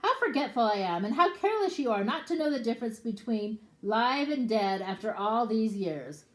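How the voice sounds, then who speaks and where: A woman's voice: a little high, big pitch swings, normal speed, pitched above the performer's own voice, low-quality recording. A woman voicing the ogre's tall wife, sly and chiding, reading a storybook aloud. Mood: scolding. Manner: chiding, mock-sweet.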